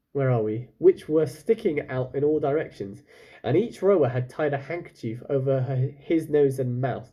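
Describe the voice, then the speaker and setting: A man's voice: mid-range voice, mellow. A man reading a children's story aloud like a teacher, breaking off for casual questions and comments to his listeners.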